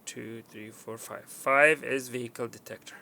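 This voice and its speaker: A man giving a hands-on wiring walkthrough, halting with fillers and restarts, counting pins aloud as he points. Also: mid-range voice, steady tone, creaky, sharp tone. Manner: halting, with frequent fillers and restarts while working. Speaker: technician demonstrating wiring.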